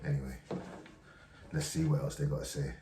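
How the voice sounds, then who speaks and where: A man hosting a vlog, commenting casually with hesitant pauses and many fillers. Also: extremely low pitch, fairly even intonation, creaky, mellow, slight background noise. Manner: hesitant, many ums and restarts. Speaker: vlog host reacting to clips. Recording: echoey room, voice from far off.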